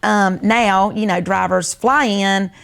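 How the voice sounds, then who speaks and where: Older female with a Southern American accent for relaxed, folksy family storytelling in conversation. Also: mid-range voice, slow, creaky. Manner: folksy storytelling, acts out remembered family dialogue. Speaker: host. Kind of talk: casual reminiscing conversation.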